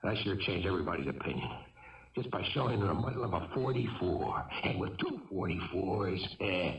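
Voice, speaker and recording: An actor playing a wounded fugitive gunman in a 1970s radio drama, jittery, bitter and wistful, speaking in halting, agitated bursts. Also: low-pitched, expressive, creaky, fairly bright tone, heavily acted. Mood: bitter, defensive. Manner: halting, agitated, repeating himself. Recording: dull, echoey vintage radio recording, distant mic.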